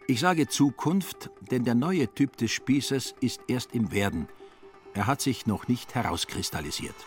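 A man narrating a literary radio play in a wry, deliberate manner with theatrical emphasis. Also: medium pitch, expressive, soft background music.

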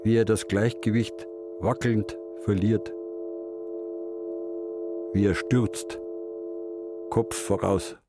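A man's voice: lowish voice, flat delivery, slow, pauses often. A man narrating a scripted radio drama. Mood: slow, ominous. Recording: music behind the voice.

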